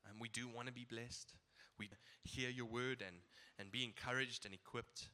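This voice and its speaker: A man's voice: mid-range voice, fairly even intonation, normal speed, bright, forceful tone. A man preaching a sermon in a casual, chuckling way, his voice nasal from a blocked nose.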